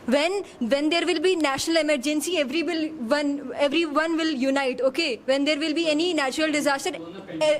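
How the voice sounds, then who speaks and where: High-voiced woman arguing a debate point. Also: steady tone, fairly quick. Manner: patient, explaining, checks with "okay?". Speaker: debate participant. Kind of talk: classroom debate. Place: classroom.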